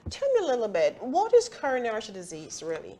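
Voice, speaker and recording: A woman's voice: on the high side, huge pitch swings, fast, warm tone. A woman doctor hosting a TV health show in a studio, speaking emphatically and asking the viewer questions.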